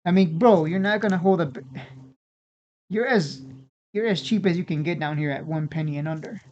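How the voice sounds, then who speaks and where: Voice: mid-range voice, fairly quick, a little mellow, dull audio, slight background noise. A stock video host giving casual, unscripted market commentary in an informal way, often repeating and restarting phrases.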